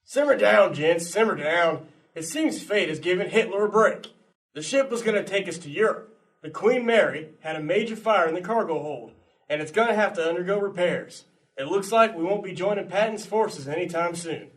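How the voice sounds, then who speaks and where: A brisk army sergeant acted by a man briefs his men in an amateur radio play. The voice is high for a man and stands back from the microphone. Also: expressive, recorded from a distance, rough recording. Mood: commanding. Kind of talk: amateur radio drama acting.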